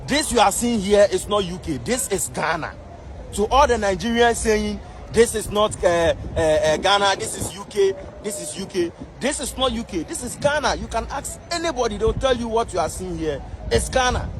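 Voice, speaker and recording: A man's voice: sits high, lively intonation, normal speed, music in the background, crowd noise. Insistent man ranting on a noisy street. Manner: repetitive, emphatic. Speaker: vlogger addressing viewers. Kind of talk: insistent direct-to-camera rant.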